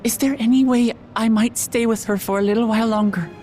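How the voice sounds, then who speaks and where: An actress playing an earnest, tender-hearted young heroine in a game cutscene, halting and emotional. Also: female voice, fairly high pitch, fairly even intonation, dark tone, faint music behind, natural voice. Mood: pleading. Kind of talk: dramatic video game cutscene acting.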